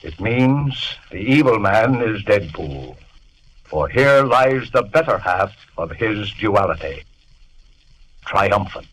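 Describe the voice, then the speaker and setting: An actor playing a resolute gentleman lawyer in a 1940s-style radio drama, firm and commanding. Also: lowish voice, lively intonation, takes it slowly, a little hiss or hum, low-quality recording. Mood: solemn.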